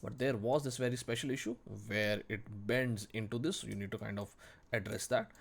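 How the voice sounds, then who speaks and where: A man's voice: fairly low pitch, steady tone, normal speed, creaky. A man teaching in an informal tutorial, speaking bluntly and emphatically and repeating phrases for stress. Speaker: 3D modelling teacher. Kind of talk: informal screen-recorded tutorial commentary.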